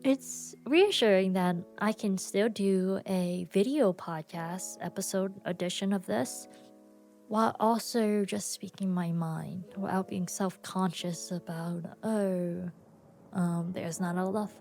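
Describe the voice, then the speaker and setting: A tired woman hosting her own podcast, rambling casually to listeners, laughing often and pausing a lot with plenty of fillers. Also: on the low side, steady tone, vocal fry. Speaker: podcast host. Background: soft background music.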